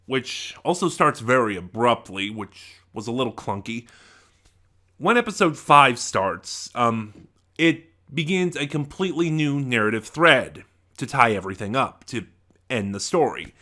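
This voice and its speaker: Male reviewer, bright forceful tone, lively swinging pitch, informal and rambling, often restarts with emphatic stresses. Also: unhurried. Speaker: audio drama reviewer. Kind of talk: casual rambling review monologue.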